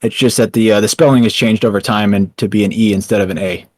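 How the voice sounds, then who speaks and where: A man's voice: fairly low pitch, steady tone, fast, darkish tone, no room sound, studio quality. A young man with a Texan accent, answering interview questions with many ums and restarts. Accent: Texan American. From Texas, USA. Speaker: founder and writer of a small news site.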